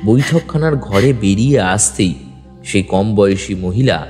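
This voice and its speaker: A man's voice: fairly low pitch, lively intonation, measured pace, darkish tone. Reverberant male mystery narrator over music. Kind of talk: scripted first-person detective story narration. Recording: long reverb with music bed. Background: background music.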